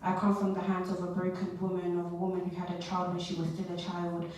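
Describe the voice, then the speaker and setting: A woman's voice: lowish voice, completely flat delivery, a little mellow. Young woman performing spoken word. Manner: rhythmic, measured delivery with repeated refrains. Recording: echoey hall, voice from far off, faint noise.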